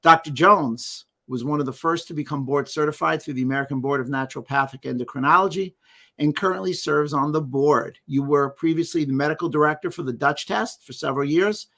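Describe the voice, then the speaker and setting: A man's voice: fairly high pitch, creaky, a bit bright. A man hosting an interview podcast, upbeat, reading a guest's bio with small asides. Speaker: podcast host. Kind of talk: interview introduction and questions.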